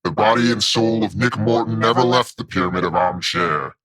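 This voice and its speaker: Grave low-voiced possessed man, acted. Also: fairly quick, over music, lightly acted. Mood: solemn, ominous. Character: solemn male host of an ancient god. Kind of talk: audio drama acting.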